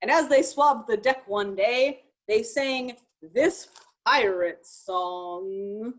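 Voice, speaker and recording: A woman reading a children's picture book aloud expressively, in measured rhyme with chatty asides. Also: on the high side. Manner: expressive, measured rhyming delivery. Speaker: storytime reader.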